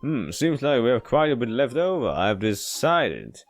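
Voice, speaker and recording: Halting man reading a game aloud over music. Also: mid-range voice, very animated. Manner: halting, stumbling and rereading lines. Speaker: let's player reading a visual novel aloud. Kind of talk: casual gameplay read-aloud commentary.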